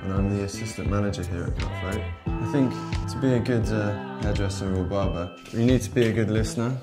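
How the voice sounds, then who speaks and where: A man with a notably low voice explains his work in a calm, measured way. Loud guitar music runs underneath. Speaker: assistant manager of a barbershop. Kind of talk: interview for a promotional video. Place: indoors in a barbershop.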